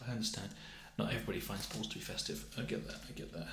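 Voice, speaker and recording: A man doing a soft-spoken ASMR roleplay, slipping into whispers, with an awkward, apologetic, deadpan manner. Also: on the low side, racing, vocal fry, roomy sound, heavy background noise. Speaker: ASMR roleplay performer, playing a festivity assessor. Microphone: binaural ASMR microphone up close.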